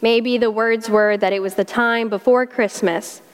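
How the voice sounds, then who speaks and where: A woman's voice: fairly high pitch, steady tone, a little slow. A woman with a South Carolina accent preaching a sermon in a folksy, conversational way, using homey Southern expressions. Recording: long hall reverb.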